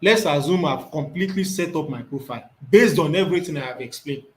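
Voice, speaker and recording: A man's voice: fairly high pitch, expressive, quick pace, a little mellow. Man teaching a screen tutorial. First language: Yoruba. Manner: thinks aloud, repeats words while reading off the screen. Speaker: instructor.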